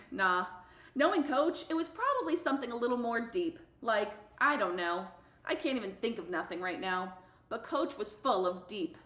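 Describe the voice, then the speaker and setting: A sassy middle-school girl runner, voiced by a woman, tells her own story in a storybook read-aloud. She is fiercely competitive and emphatic, with slangy phrasing. The voice sits fairly high and rings in an echoey room. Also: very animated, moderate pace, fairly bright tone. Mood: sassy, confiding. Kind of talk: expressive audiobook-style read-aloud.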